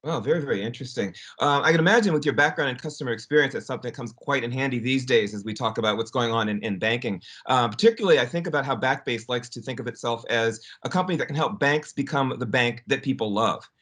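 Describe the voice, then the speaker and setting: A man hosting an interview, asking polished, quick questions. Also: medium pitch, a bit bright.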